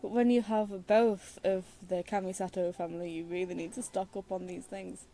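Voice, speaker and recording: A woman's voice: mid-range voice, level tone, moderate pace, dark tone. A woman giving casual, wry commentary as she plays through a video game, with muttered asides. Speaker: game streamer. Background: faint game music.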